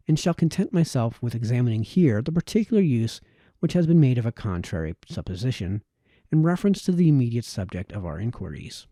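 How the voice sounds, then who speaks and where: A man reading a formal historical text aloud in audiobook style, with measured phrasing and the occasional stumble. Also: medium pitch, warm tone, dry recording, studio quality. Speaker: amateur reader of historical texts.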